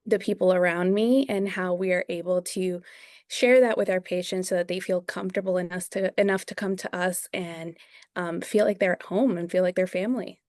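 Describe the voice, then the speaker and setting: A woman's voice: lowish voice, steady tone, normal speed, darkish tone, no echo, studio quality. Earnest young woman from Venezuela. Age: young adult. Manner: earnest, sincere. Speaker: dentist and practice owner. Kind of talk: interview guest.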